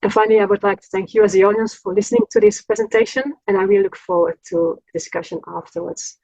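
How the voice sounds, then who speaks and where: A woman presents as a statistician, speaking earnestly and carefully, pausing often on "um" and "uh". Her voice has a husky edge and a warm, mellow tone. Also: medium pitch, steady tone, no room sound, low-quality recording. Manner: earnest, measured, frequent "um" and "uh". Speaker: collaborative statistician. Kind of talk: conference presentation.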